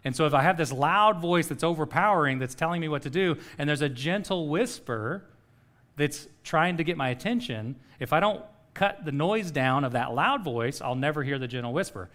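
Middle-aged male pastor, a touch high-pitched, chatty sermon delivery. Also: expressive, normal speed, a bit bright. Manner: conversational, checks in with "okay?".

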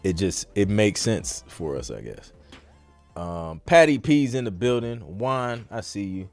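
A man's voice: lowish voice, very animated, measured pace, a little mellow. A man hosting a casual livestream Q&A about music production, talking in an informal, slangy, conversational way. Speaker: podcast host and music producer.

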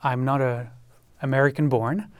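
A man from France with a French accent, a guest on a panel discussion who talks haltingly, with many fillers and repeated words. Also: medium pitch, fairly even intonation, dry recording.

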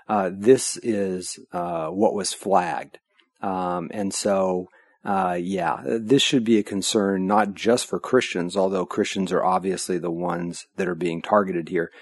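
An American man hosting a podcast, talking in a casual, opinionated monologue with halting phrasing. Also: low-pitched, dry recording. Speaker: podcast host.

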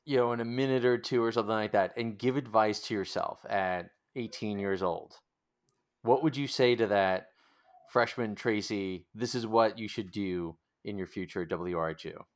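A man's voice: fairly low pitch, normal speed. A man hosting a casual interview, speaking sympathetically and feeling his way thoughtfully through his phrasing. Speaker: interviewer, podcast host.

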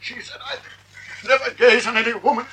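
A man's voice: extremely high pitch, fairly even intonation, fairly quick, sharp tone, a little hiss or hum. An actor playing a delirious older playboy gasping out in agony as he dies, in an old radio drama. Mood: agonized, delirious. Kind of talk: 1940s radio acting. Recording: old radio broadcast recording.

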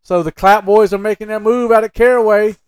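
A Southern American man with a high-pitched voice co-hosts a loose, teasing chat. He needles his partner and hammers phrases by repeating them. The recording is very dry. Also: fairly even intonation, brisk, clear, resonant tone, no echo. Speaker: podcast co-host. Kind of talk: casual teasing banter.

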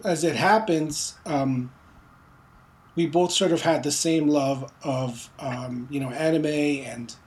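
A man raised in Montreal talks casually as a guest, rambling with many fillers. His telling stays loose and unhurried. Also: on the high side. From Philippines, raised in Montreal. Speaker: comic book writer. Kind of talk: casual interview.

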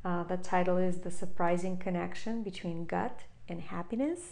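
A woman, guesting on an interview show as a chef. Her voice sits low and has a gravelly, creaky edge. She talks in a halting way, with frequent pauses and restarts. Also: darkish tone. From Russia. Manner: halting, many fillers and restarts. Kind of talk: casual interview chat.

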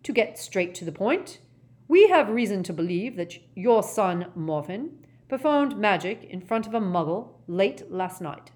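A woman voicing a formal male government official in an audiobook, polite, measured and firm. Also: medium pitch, big pitch swings, a little mellow. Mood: polite, shocked. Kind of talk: audiobook character voice.

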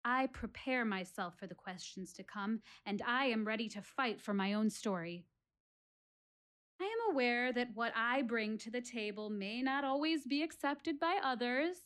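An actress playing an earnest, reflective female warrior, speaking to a theatre audience in a rhetorical one-woman monologue with dramatic pauses. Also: a little high, animated, a bit bright. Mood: resolute. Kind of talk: one-woman stage monologue audio play.

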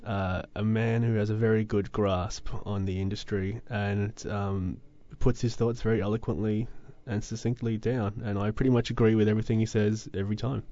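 A man with a fairly low, mellow voice co-hosting a podcast. He chats casually and agreeably, often affirming what the host says. The recording sounds a bit dull and lo-fi. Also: level tone, moderate pace, few pauses. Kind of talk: casual chat.

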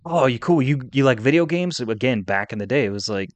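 A man's voice: mid-range voice, lively intonation, brisk, no room sound. A middle-aged man talking casually and conversationally as the co-host of a podcast.

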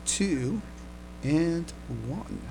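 A man co-hosting a casual fan podcast, chatting haltingly and often repeating his words. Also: a little high, animated, music in the background, poor audio. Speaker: podcast co-host.

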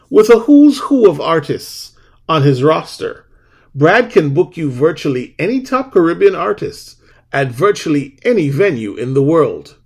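A man's voice: fairly high pitch, gravelly, slightly rough. A man hosting a podcast, reading a scripted introduction and then chatting casually with a guest, laughing easily. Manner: lively, animated delivery with laughs. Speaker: podcast host.

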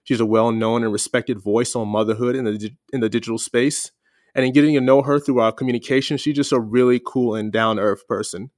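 A man's voice: mid-range voice, steady tone, brisk, a little mellow, dry recording, studio quality. A man hosting a podcast, opening with a scripted intro and welcoming a guest in an upbeat way. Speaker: podcast host. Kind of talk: scripted intro then casual interview.

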